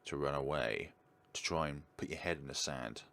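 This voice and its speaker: A man hosting a game playthrough, reading the text aloud and commenting in an earnest, deliberate, theatrical way. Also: extremely low pitch, vocal fry, bright, forceful tone. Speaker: video game playthrough host. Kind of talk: dramatic read-aloud of game text with his own commentary.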